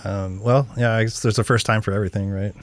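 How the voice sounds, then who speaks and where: Low-voiced man, casual interviewer, dry sound. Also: steady tone, quick pace, no room sound. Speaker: interviewer, reporter.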